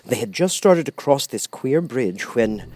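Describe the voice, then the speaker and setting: Animated man narrating a storybook. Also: mid-range voice, measured pace, slight background noise. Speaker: audiobook narrator.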